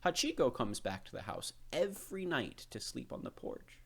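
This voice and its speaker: Man voicing a gentle old gardener, pitched up. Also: wildly swooping pitch, creaky, husky, full character voice. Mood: friendly. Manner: slow, thoughtful delivery. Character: stooped old gray-haired Japanese gardener, gentle and thoughtful.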